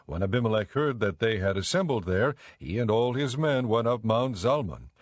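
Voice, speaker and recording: A man narrating a dramatized audio Bible in a measured, clearly enunciated storytelling style. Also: fairly low pitch, lively intonation, a little hiss or hum.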